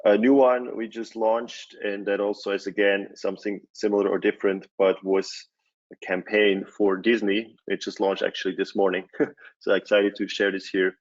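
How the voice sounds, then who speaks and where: A man from Switzerland in his forties giving a conference talk, relaxed, with many fillers and restarts. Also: fairly low pitch, normal speed, highs cut off. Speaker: conference speaker, company CEO. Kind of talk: presentation talk.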